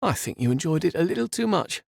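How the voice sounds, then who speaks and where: A man's voice: a little high, big pitch swings, normal speed, gravelly, dry recording, clean studio sound, voice pushed up, full character voice. A man voicing a scheming young woman who talks down to others in a cold, condescending way. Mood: mocking. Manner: condescending, sing-song.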